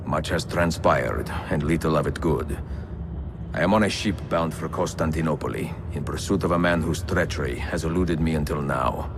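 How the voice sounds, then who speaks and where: An actor playing a weary, resolute older master assassin with an Italian accent and a grave, measured delivery in a game cutscene. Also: male voice, background noise. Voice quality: deep, gravelly. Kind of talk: dramatic game cutscene acting. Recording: music behind.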